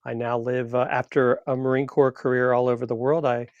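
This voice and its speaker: A man who co-hosts a podcast and served in the Marines, talking about himself in a relaxed, unhurried way. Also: medium pitch, no room sound. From born in Indiana, raised in Virginia. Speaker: podcast co-host, former Marine officer. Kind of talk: casual chat. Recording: dull lo-fi remote audio.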